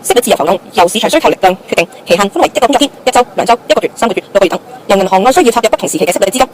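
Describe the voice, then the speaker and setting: A woman's voice: medium pitch, animated, very fast, natural voice. A woman playing an aggrieved young job seeker with a short fuse in an amateur radio drama, with a Hong Kong Cantonese accent. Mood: rattling, businesslike.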